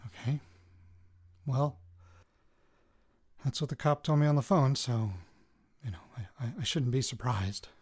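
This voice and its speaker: A man's voice: medium pitch, a little slow, pauses often, a little mellow, breathy edge. A man with an American accent playing a wry radio reporter in an audio drama, rambling hesitantly into his recorder and interrupting himself. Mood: musing, wry. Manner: hesitant, self-interrupting, wryly joking. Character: rattled, wry young man, radio reporter returning home.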